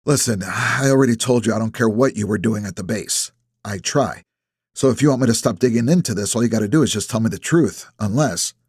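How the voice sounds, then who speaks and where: A man's voice: fairly low pitch, a little fast, darkish tone, breathy edge, no room sound, clean studio sound. A man voicing a brash, defiant detective in an audiobook, with a sarcastic, pushy manner. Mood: pressing. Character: brash, defiant male detective needling a suspect. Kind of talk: acted audiobook dialogue.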